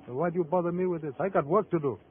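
An actor playing a brusque, wary nightclub owner in a 1950s radio crime drama, curt and guarded. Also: male voice, a little high, racing, lightly acted. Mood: wary, evasive. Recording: muffled, noisy old radio broadcast.

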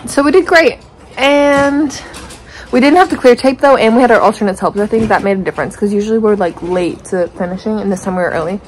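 A woman's voice: mid-range voice, a little fast, a little hiss or hum, poor audio. A young woman in her late teens talking in casual, chatty, rambling vlog style into a handheld phone. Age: teens, about 18. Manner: chatty, rambling, informal slang. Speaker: vlogger. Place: hotel room, restaurant and street on a trip. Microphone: phone at arm's length.

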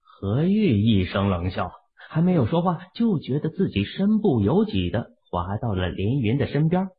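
A man's voice: medium pitch, very animated, normal speed, slightly rough. A man narrating a novel from a script in a dramatic storytelling style, with narrow, muffled sound. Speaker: audiobook narrator.

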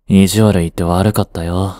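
An aloof teenage boyfriend, acted by a man in a romantic audio drama. His voice is low and very mellow, with an unhurried, nonchalant delivery. He teases curtly and dryly, hiding his fondness. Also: no echo, clean studio sound. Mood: teasing. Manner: cool, curt, nonchalant teasing. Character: aloof, dry, secretly fond teenage boyfriend. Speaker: voice actor. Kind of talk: romantic situation voice drama, one-sided dialogue.

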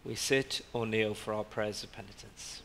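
A man leading prayers as a priest, reading liturgy in a slow, measured way from a lectern in a church. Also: on the low side, steady tone. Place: church interior with stained glass. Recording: reverberant church captured from afar with faint background hiss.

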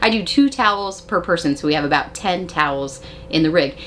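A woman's voice: fairly low pitch, lively intonation, fairly quick, a bit bright. An American woman in her forties chatting emphatically to camera as she gives practical tips in a casual vlog. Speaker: vlogger sharing RV living tips. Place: inside an RV living space and bedroom. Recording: clear background ambient noise.

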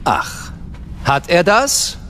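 A man's voice: high-pitched, big pitch swings, extremely slow, sharp tone, slight background noise, exaggerated voice. An actor playing a composed, determined male senator with formal speech in a German radio drama. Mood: composed, firm.

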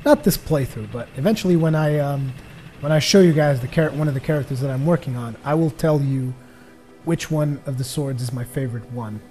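A young man from Portugal, a native Portuguese speaker, giving jokey rambling let's play commentary on a headset as his voice grows tired and hoarse. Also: mid-range voice, a little fast, mellow, faint music behind. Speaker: gaming commentator.

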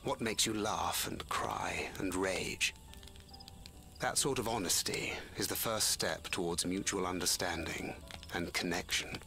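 An actor playing a supportive young adventurer in a video game, sincere, plain-spoken and encouraging. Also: male voice, low voice, measured pace, creaky, bright, forceful tone, full character voice. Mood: gently encouraging. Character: candid, supportive young male adventurer, steady mentor. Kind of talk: video game cutscene acting. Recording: background music under dialogue.

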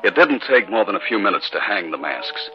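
A troubled husband from a 1950s radio mystery, speaking in a low voice. The old broadcast sound is dull, with music behind. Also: male voice, very animated, fairly quick. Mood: grave, brooding. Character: troubled husband Bert, recounting a haunting, easygoing at home. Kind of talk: 1950s radio drama acting and narration.

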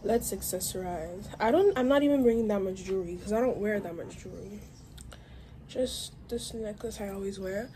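A chatty young woman in her teens talks to a phone held at arm's length in her bedroom. Her voice sits at an ordinary middle pitch, and she rambles easily with plenty of "like." A faint hum of room ambience sits behind her. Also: normal speed, mellow. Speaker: vlogger. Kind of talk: casual vlog chat to camera. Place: bedroom at home, indoors. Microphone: phone camera at arm's length.

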